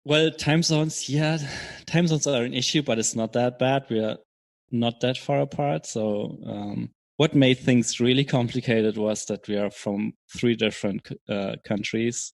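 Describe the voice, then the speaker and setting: A man answering as an interview guest in casual chat, explaining things in a measured, hesitant way. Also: mid-range voice, fairly even intonation, creaky, dry recording. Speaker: podcast guest, software startup co-founder.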